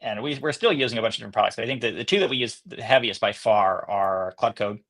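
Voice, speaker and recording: A man's voice: fairly low pitch, fast, fairly bright tone. A man speaking casually as a company executive guest in an interview, feeling his way through his answers as he talks.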